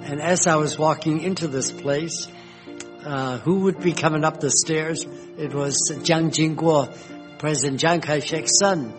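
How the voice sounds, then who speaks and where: Slow-spoken older man reminiscing. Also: fairly high pitch, fairly even intonation, music in the background. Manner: slow, gentle storytelling with fillers. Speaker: retired missionary. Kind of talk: reminiscing personal history.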